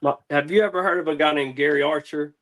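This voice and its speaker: A man's voice: on the high side, steady tone, fast, a bit bright. A man chatting casually as an interview guest, laid-back, slangy and rambling. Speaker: interview guest, music artist. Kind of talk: casual chat.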